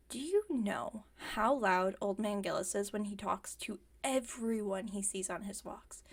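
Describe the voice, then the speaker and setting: A woman's voice: on the high side, vocal fry. A woman playing a playfully defensive, stubborn villain inventor in an ASMR roleplay, chatting and teasing with mock innocence. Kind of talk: ASMR roleplay, one-sided conversational acting.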